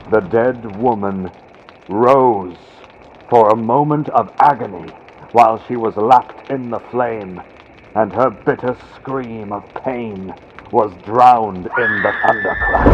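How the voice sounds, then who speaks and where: A man narrates gothic horror in a low, slow voice, drawing out phrases with theatrical suspense. The recording is dull and lo-fi, like vintage radio through a built-in computer mic, with loud noise around the voice. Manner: theatrical, suspenseful, deliberate phrasing with dramatic pauses. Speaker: audiobook narrator. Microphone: built-in computer microphone. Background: loud noise and storm-like ambient sound effects.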